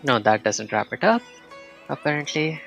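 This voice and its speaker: A man's voice: a little high, lively intonation, moderate pace, gravelly, fairly bright tone, soft background music. A man giving short, casual reaction commentary as a gaming streamer over gameplay.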